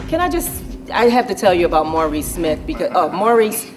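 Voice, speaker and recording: A woman's voice: fairly low pitch, sing-song, fairly quick, over music. A woman hosting a celebrity interview show, effusive and emphatic, repeating words as she introduces and praises guests. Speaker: talk show host, interviewer.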